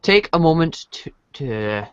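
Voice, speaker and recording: A man reading comic narration aloud for a podcast, halting and correcting himself as he goes. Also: fairly high pitch, very animated, fairly bright tone, clean tone, no echo, muffled recording. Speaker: reader in a webcomic reading podcast.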